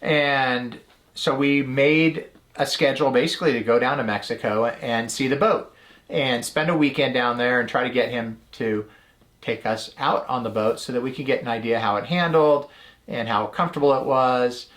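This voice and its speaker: A man's voice: mid-range voice, moderate pace. A middle-aged man co-hosting a podcast, telling a story in casual chat and slipping into a livelier voice when he retells what people said. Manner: relaxed, retells conversations in a livelier voice. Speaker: podcast co-host.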